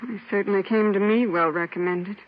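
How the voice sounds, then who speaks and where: A man's voice: high voice, fairly even intonation, brisk, slight background noise, natural voice. An American performer playing a polite, talkative businesswoman in an old radio crime drama. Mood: concerned. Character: polite, talkative woman contractor and gem dealer, concerned. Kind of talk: 1950s radio drama acting. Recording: muffled old radio recording.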